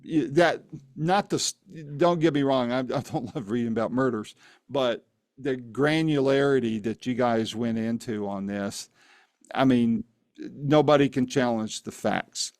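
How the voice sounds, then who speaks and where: A man's voice: medium pitch, a little slow, darkish tone, no echo. Chatty host man, dry close sound. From Phoenix, Arizona area. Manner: friendly, chatty, says "man" often. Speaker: interview host. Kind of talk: casual interview conversation.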